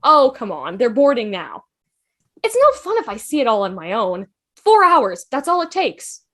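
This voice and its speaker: A woman's voice: on the high side, wildly swooping pitch, moderate pace, a bit bright, full character voice. A woman playing a breezy, eager, cheerful traveller in a fan table read. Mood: breezy, teasing. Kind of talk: fan table read over video call.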